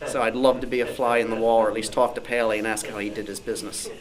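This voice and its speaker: A man's voice: fairly low pitch, level tone, fast talker, a crowd in the background, low-quality recording. A man informally telling detailed anecdotes in a knowledgeable way at a group discussion. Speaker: club discussion participant.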